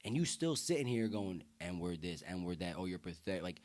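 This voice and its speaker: A deep-voiced young man from the United States speaking earnestly and emphatically in casual, slang-heavy conversation as a podcast guest. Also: expressive, normal speed, a little mellow.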